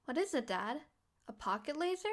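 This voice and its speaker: A woman's voice: sits high, big pitch swings, moderate pace. A woman voicing an eager, mouthy young vigilante girl in a comic dub. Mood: quiet, curious.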